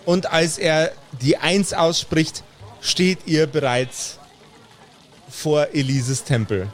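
A man's voice: a little high, steady tone, slow pace. A man working as game master, improvising the narration of a tabletop role-playing game. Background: background music.

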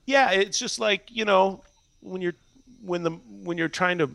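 A man's voice: high voice, measured pace, creaky, fairly bright tone, no room sound. An American man from Minnesota talking casually as an interview guest, rambling and often saying "you know". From Minnesota, United States. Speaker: podcast guest.

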